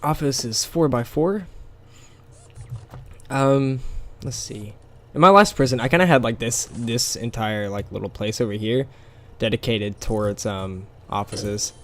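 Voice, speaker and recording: Gravelly man with a scratchy, tired voice. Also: mid-range voice, a little slow. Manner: rambling, with many fillers and small laughs. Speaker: gameplay commentator. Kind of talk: casual game commentary.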